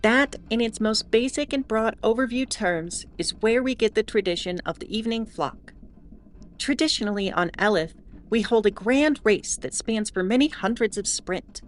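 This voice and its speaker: A woman playing a wry, mildly exasperated starship announcer in a scripted audio drama, explaining in a measured way with asides. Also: a little high, lively intonation, slight background noise, lightly acted. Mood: explanatory. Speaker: host.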